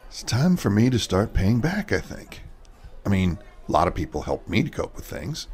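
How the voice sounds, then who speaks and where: A man playing a gentle, anxious recovering addict in an audio horror drama, halting and earnest. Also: on the low side, big pitch swings. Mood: earnest, weary.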